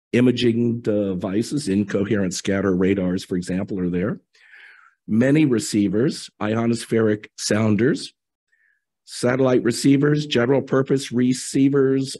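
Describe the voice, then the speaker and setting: A middle-aged man speaks at a lectern microphone, explaining engineering work in an easygoing, conversational way. His voice sits fairly low and sounds husky, hoarse from the tail end of a cold. He pauses with fillers as he goes through his slides. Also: warm tone. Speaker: electrical engineer presenting. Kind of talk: technical conference talk.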